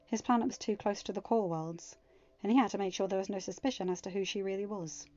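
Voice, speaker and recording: A woman's voice: mid-range voice, quick pace, a little mellow, muffled recording. Woman reading a fan story aloud. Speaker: fanfiction audiobook reader. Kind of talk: storybook narration, casual outro chat.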